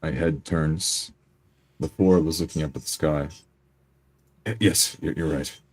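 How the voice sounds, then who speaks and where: A man playing a tabletop roleplay, describing his character's actions haltingly and deliberately. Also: extremely low pitch, steady tone, breathy edge.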